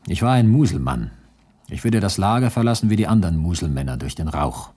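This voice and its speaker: A man's voice: low-pitched, sing-song, fairly quick, darkish tone, breathy edge, full character voice. An actor playing a male camp survivor who recounts his ordeal soberly and precisely in a radio drama monologue. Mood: bleak, sober.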